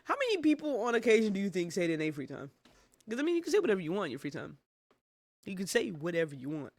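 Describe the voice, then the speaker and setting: High-pitched man reacting, dry close sound. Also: huge pitch swings, racing, no room sound. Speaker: reaction video host. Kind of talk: casual reaction commentary.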